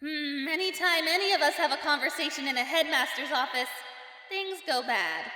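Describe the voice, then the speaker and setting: A woman plays a young cartoon heroine thinking out loud, chatty, wry and a little suspicious. Her voice is high and bright, ringing in a long hall-like reverb. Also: lively intonation, moderate pace. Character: young heroine's chatty, wary, sarcastic inner voice. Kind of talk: character voice-over commentary on cartoon clips. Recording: echoey hall reverb, music behind.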